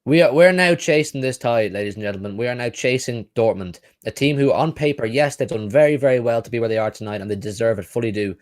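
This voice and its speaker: A man's voice: mid-range voice, fast talker, few pauses. A young man hosting a live football watch-along, ranting and swearing, then celebrating, and losing his voice.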